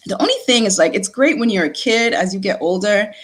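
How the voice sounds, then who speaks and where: Animated woman telling her story. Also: mid-range voice, brisk, husky. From born in Nigeria, raised in Connecticut. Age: late 30s. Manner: lively storytelling, repeats words, laughs often. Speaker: guest. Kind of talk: casual conversational interview.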